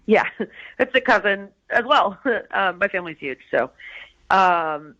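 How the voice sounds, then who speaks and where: Bright-voiced, easygoing woman on a call. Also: medium pitch, lively intonation, unhurried, dull audio. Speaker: person answering a phone call. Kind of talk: casual phone chat.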